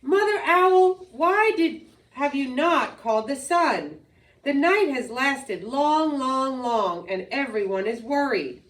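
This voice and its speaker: A woman's voice: high-pitched, expressive, slow pace, a bit bright, exaggerated voice. A woman voicing a solemn lion king in a folktale, questioning his animal council in declamatory phrases. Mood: grave questioning. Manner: declamatory, repeating words for weight. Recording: roomy echo, distant microphone.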